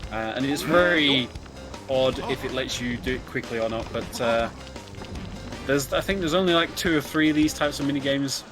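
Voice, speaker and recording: A man's voice: medium pitch, normal speed. A man giving casual, chatty co-commentary on a video game, explaining it hesitantly with some light joking. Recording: game music behind the voice. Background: video game music.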